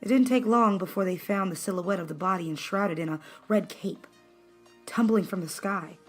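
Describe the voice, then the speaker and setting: A woman's voice: mid-range voice, moderate pace. A woman reading scripted fan fiction as a dramatic narrator. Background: background music.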